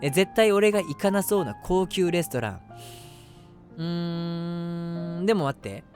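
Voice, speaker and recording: A man's voice: on the high side, unhurried, over music, lightly acted. A man playing a lovestruck, self-deprecating young man who narrates his crush in a chatty, flustered way in a voice drama. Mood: eager, nervous. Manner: flustered, animated, self-mocking, talking to himself. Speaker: voice actor. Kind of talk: first-person situation voice drama, chatty narration with acted reactions.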